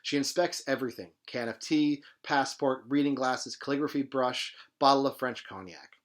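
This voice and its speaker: A man reading literary fiction aloud in an expressive, dramatic manner with emphatic phrasing. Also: mid-range voice, moderate pace. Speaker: presenter reading a novel aloud.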